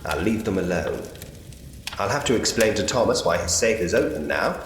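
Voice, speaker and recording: A man's voice: low voice, lively intonation, normal speed. A man playing an inquisitive, articulate investigator who muses to himself in a Victorian ghost story point-and-click game. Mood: curious. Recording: roomy, long reverb, noisy ambient bed.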